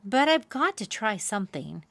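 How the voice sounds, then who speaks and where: A woman's voice: mid-range voice, big pitch swings, moderate pace, gravelly, a bit bright, dry recording, voice pushed up. A woman voicing a worried, doubtful female robin in a children's storybook. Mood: alarmed. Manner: fretful, doubting.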